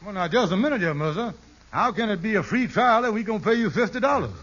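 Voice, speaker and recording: High-pitched folksy schemer. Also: male voice, lively intonation, quick pace, a bit bright. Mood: wily, insistent. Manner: folksy ungrammatical talk, smooth-arguing. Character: wily, fast-talking male schemer and henpecked husband. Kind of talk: old-time radio sitcom acting. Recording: dull, noisy vintage radio recording.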